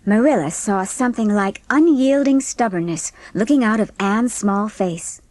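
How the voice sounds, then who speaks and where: A woman narrates a storybook in scripted audiobook style. Her voice sits at a middle pitch, and the sound is dry with no room echo. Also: animated, moderate pace, a little mellow.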